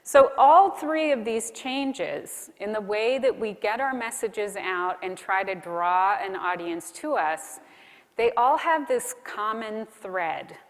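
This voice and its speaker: A woman's voice: medium pitch, animated, slow pace. A woman giving a conversational conference keynote, asking the audience questions as she goes. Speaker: keynote speaker. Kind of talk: conference keynote talk on content marketing. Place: large conference hall. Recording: long hall reverb.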